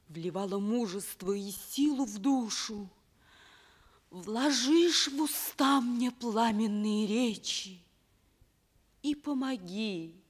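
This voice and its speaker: An actress playing a passionate, scheming enslaved princess in love, with theatrical, drawn-out, declamatory delivery in a radio play. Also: female voice, medium pitch. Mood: yearning. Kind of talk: declamatory classic stage acting in a radio play.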